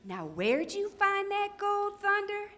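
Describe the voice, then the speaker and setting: Lady doing bossy old west frontier woman, super high sharp voice, scolding, all over the place, radio play. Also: sing-song, slow pace, full character voice. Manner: scolding, folksy, blustering. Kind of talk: old-time Western radio re-enactment.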